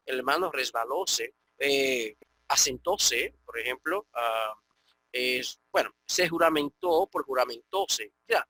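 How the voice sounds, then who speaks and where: Man, online grammar lesson, slightly high voice, dry close sound, keeps checking in with "ok?". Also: pushed and bright, dry recording. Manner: explanatory, checks in with frequent "ok?". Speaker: Greek teacher.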